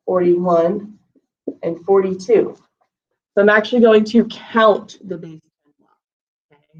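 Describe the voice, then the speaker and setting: A woman's voice: medium pitch, darkish tone. A woman teaching a recorded math lesson to first graders, talking patiently and counting aloud, her voice slightly scratchy from allergies. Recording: lo-fi, voice a bit far from the mic.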